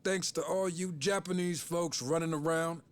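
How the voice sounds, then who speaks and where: An actor playing a jaded, sleep-deprived official in a video game, blunt and wearily sarcastic. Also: male voice, on the high side, fairly even intonation, moderate pace, soft background music, lightly acted. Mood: exasperated. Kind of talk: cinematic video game acting.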